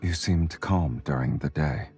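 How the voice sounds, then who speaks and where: A man playing a calm, confident, teasing romantic hero in a game, speaking in a composed, intimate way. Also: a bass voice, unhurried. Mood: tender, calm. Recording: music behind the voice.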